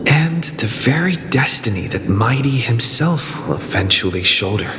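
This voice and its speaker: A man's voice: medium pitch, expressive, unhurried, background noise, close to an everyday voice. A man playing a puzzled, solitary young man in a video game, questioning and musing to himself. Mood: pensive. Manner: brooding, questioning inner monologue.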